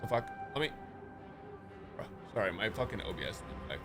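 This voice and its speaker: Guy reacting live on stream, slangy and sweary, lively swings in pitch, game music behind. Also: fairly quick.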